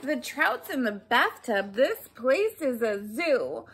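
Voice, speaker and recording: A woman's voice: sits high, big pitch swings, takes it slowly, a bit bright, a little hiss or hum. A woman in her forties reading a children's picture book aloud expressively, speaking to young listeners with questions and asides. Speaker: storybook reader for children. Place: small furnished room at home, indoors.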